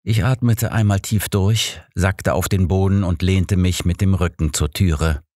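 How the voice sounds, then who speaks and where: A man's voice: low-pitched, unhurried, darkish tone, no room sound, clean studio sound. A man reading scripted narration of a detective story as an audiobook narrator.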